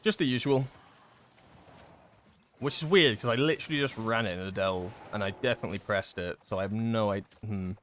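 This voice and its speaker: A young man giving casual livestream commentary while gaming, chatty and self-deprecating with excitable outbursts, his voice going hoarse. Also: lowish voice, fairly quick, a little hiss or hum. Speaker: gaming streamer.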